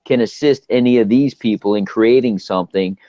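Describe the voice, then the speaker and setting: A man's voice: mid-range voice, brisk, dark tone, dry recording. A man hosting a live online talk show, enthusiastic and encouraging, addressing his audience directly. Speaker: host. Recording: dry, dull lo-fi sound.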